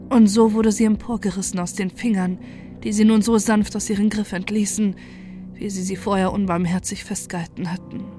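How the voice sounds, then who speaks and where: A woman with a dark, soft-edged voice narrates a horror tale in the first person. Her delivery is measured and grave, at a medium pitch, with faint music underneath. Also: steady tone.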